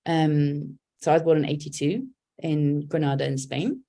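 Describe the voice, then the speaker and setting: Low-voiced reflective Englishwoman. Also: normal speed, mellow. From England. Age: 40s. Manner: thoughtful, candid, many restarts and fillers. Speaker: guest, poet and writer. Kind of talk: reflective conversational interview.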